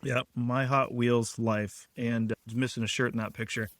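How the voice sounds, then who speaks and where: A man's voice: medium pitch, flat delivery, moderate pace, fairly bright tone, dry recording. Guy cohosting a podcast, joking around, rambles, dry close sound. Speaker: podcast co-host. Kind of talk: casual podcast chat.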